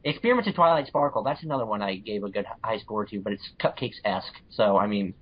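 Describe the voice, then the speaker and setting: A man chatting casually as a podcast guest, giving blunt opinions in a halting, repetitive way. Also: lowish voice, expressive. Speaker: podcast guest, fan fiction reviewer. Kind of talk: casual chat. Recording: dull lo-fi voice recording.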